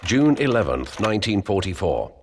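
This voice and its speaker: A man narrating a war game cutscene in a measured, formal documentary style, with a grave manner. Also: fairly low pitch, very animated. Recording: clearly noisy game capture.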